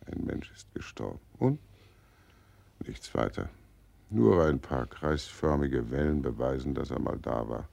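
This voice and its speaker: Brief: fat jolly Death, a cynical undertaker in an old radio play, booming bass, gravelly. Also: male voice, a little mellow, heavily acted. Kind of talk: 1940s radio drama acting.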